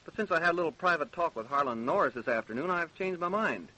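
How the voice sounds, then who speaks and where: Coroner for vintage-style radio play: American, slightly high voice, measured, official, probing, even-keeled. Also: male voice, poor audio. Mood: calm, persuasive. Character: probing, even-tempered male small-town coroner investigating deaths. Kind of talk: old-time American radio drama acting.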